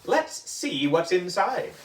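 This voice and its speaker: A man voicing an eccentric, unflappable male science teacher who explains things calmly in a storybook. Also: high-pitched, slow, roomy sound, recorded from a distance, pitched above the performer's own voice, heavily acted, rough recording. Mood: matter-of-fact.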